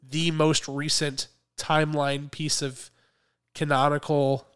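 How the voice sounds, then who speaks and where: A man co-hosting a podcast in casual chat, a little hoarse from a cold, chatty and quick to laugh. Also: on the high side, flat delivery, a bit bright. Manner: chatty, laughs often, restarts phrases. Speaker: podcast co-host.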